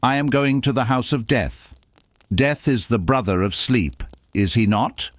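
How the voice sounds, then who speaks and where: Guy doing a tiny loyal swallow from a fairytale, soft n earnest, slow, dry close sound. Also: mid-range voice, no echo, voice pushed up, lightly acted. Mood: loving, resigned. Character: devoted, fragile little male swallow.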